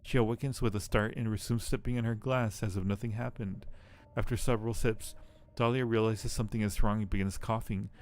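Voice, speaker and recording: A man streaming a game, reading the narration aloud and commenting casually in a low-key way as he thinks aloud. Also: fairly even intonation, a little fast, a little mellow. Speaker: live streamer playing a game.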